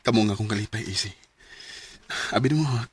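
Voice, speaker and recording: An actor playing a devoted young son and expectant father, earnest and heartfelt, in a radio drama with a Cebuano accent and a husky voice. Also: on the low side, fairly quick. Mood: loving.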